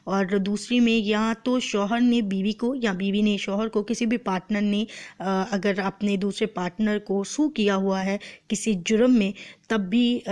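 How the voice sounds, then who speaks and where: A woman law lecturer with a Pakistani accent speaks at a middle pitch, her throat sore. She explains methodically, as if to students preparing for a test, and slips English terms into her teaching. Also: a little mellow. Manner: explanatory, translating phrase by phrase.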